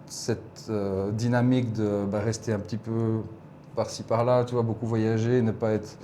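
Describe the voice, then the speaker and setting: A man's voice: on the low side, level tone, moderate pace, dark tone, slight background noise. A man in his forties from Belgium with a Belgian French accent, a guest chatting casually and reflectively in an interview, laughing easily. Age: 40s.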